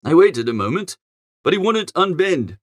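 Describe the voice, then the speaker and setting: A man reading a storybook in character as a chatty, flustered young gentleman, with a posh, exclamatory, grumbling manner. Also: on the high side, big pitch swings, normal speed, dry recording, clean studio sound. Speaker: audiobook narrator. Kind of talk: animated first-person storybook narration in character.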